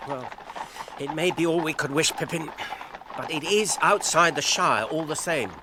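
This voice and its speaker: An actor playing a polite, careful gentleman hobbit in a radio drama, courteous, earnest and slightly hesitant. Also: fairly high pitch, normal speed, lightly acted. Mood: cautious. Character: polite, careful male gentleman hobbit leading his friends. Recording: clear background ambience noise.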